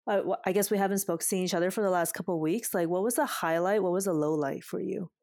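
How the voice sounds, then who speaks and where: Campaign organizer, female, lowish voice, earnest reflective delivery, dry recording. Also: quick pace, darkish tone, no room sound. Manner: earnest, thoughtful, with fillers. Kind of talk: casual chat.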